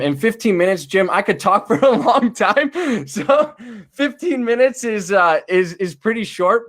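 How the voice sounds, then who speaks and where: Booming young man, slightly high. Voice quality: booming loud voice. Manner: enthusiastic, many fillers and restarts. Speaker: chiropractic student guest. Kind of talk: casual interview over video call. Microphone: desktop USB podcast mic.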